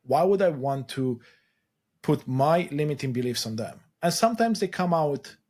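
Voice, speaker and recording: A man's voice: on the high side, animated, moderate pace, a little mellow. A man from Romania, co-hosting a podcast in a casual, reflective way and often checking in with "right?". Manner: affirming, reflective, tags statements with "right?". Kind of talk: casual conversational podcast chat.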